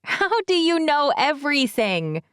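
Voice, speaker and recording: Woman voicing an earnest young woman, higher-pitched. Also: very animated, a little slow, fairly bright tone, no room sound, clean studio sound, full character voice. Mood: happy, flustered. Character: shy, happy young woman, earnest daughter. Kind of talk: audiobook character dialogue.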